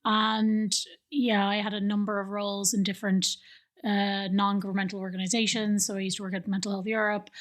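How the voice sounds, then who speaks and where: A middle-aged Irish woman, a think tank director, explaining her work in a relaxed interview with frequent ums and restarts, recorded on a stand mic in a living room studio. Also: mid-range voice, brisk. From Ireland. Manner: explanatory, many fillers and restarts. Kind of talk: conversational interview.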